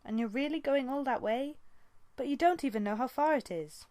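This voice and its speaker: A woman voicing a spirited, stubborn young female apprentice cat in an audiobook, with a bright, girlish, insistent delivery. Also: high-pitched, a little fast, no echo, voice pushed up, lightly acted. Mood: incredulous. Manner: pleading, insistent.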